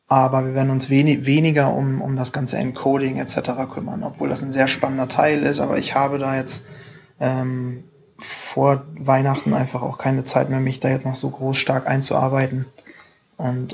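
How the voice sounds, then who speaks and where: Young man, podcast co-host, husky, gravelly, flat, halting, lo-fi sound. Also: medium pitch. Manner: halting, many fillers and restarts. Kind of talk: casual podcast chat. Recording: dull lo-fi home podcast recording.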